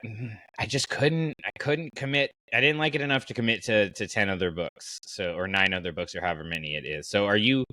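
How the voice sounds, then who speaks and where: A man's voice: on the low side, fairly quick, bright, forceful tone, no echo. A man hosting a podcast in a casual, informal and enthusiastic chatty style. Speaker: podcast host.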